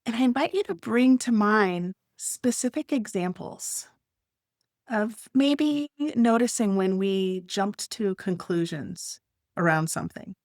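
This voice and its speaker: A woman leading a guided meditation, speaking gently in short, unhurried phrases with long pauses. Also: fairly low pitch, no echo, clean studio sound.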